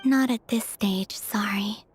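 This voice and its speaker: An actress playing a gentle, serene moon goddess in a fantasy game, with a soft manner. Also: female voice, mid-range voice, slow pace, faint music behind, natural voice.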